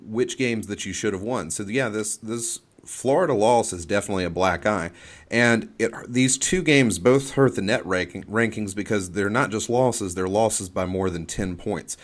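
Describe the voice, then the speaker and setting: A man giving off-the-cuff sports analysis in an explanatory way, often restarting and repeating his phrases. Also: low voice. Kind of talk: off-the-cuff college basketball analysis.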